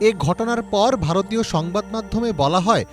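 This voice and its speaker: A man's voice: high-pitched, normal speed, faint music behind. A man with a Bangladeshi accent reading a scripted news report as a voice-over.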